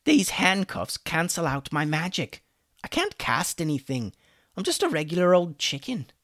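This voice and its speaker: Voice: mid-range voice, very animated, normal speed, a bit bright, dry recording, clean studio sound, voice pushed up. A storyteller voicing a weary, sarcastic chicken wizard for a children's story. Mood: resigned. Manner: sarcastic, exasperated. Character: mocking, weary chicken wizard prisoner with human hands.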